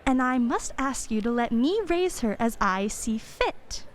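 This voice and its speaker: A woman's voice: on the high side, animated, measured pace. A woman playing a sensible, guarded working mother in a radio play, with an earnest, proper and firm manner.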